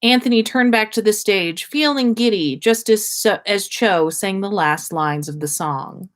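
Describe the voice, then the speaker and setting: A woman with a middle-pitched voice reads a story aloud for a livestream. Her pace is unhurried, and she stumbles now and then while giving the dialogue some drama. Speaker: podcast host reading listener fan fiction.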